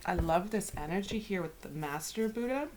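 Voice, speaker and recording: A woman's voice: fairly low pitch, animated, a little hiss or hum, rough recording. Woman with a head cold reading tarot. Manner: soft, unhurried, with whispered invocations. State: head cold, raspy throat. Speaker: tarot reader.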